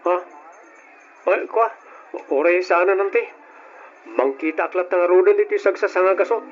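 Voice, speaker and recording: Man acting meek, higher-voiced Ilocano nephew. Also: normal speed, close to an everyday voice. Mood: meek, reluctant. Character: meek, weary, put-upon young nephew. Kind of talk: radio drama acting. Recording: muffled, noisy old radio drama.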